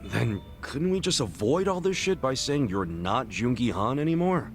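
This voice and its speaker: An actor playing a brash, warmhearted, loyal street tough in a video game cutscene, blunt and informal. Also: male voice, medium pitch, big pitch swings, moderate pace, soft background music. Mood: curious, frank. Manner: informal, blunt, swaggering, foul-mouthed.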